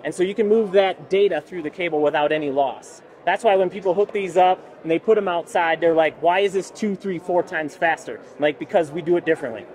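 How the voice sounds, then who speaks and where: A man in his forties giving a casual, keen product pitch as a company representative, explaining as he goes. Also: brisk, hardly any pauses, a little mellow. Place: busy indoor expo hall booth.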